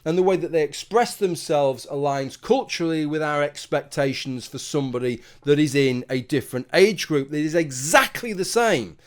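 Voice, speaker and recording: Male commentator, lightly raised pitch, talks through an argument with asides to the viewer. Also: normal speed, hardly any pauses. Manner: argumentative, conversational, rhetorical asides. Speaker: commentary video host. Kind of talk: solo opinion monologue.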